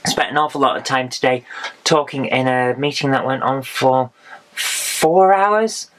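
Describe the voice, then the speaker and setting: A woman, hoarse from losing her voice, chatting frankly through a haul of retro games with dry asides. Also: extremely low pitch, unhurried. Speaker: retro game vlogger. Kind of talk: casual game haul review.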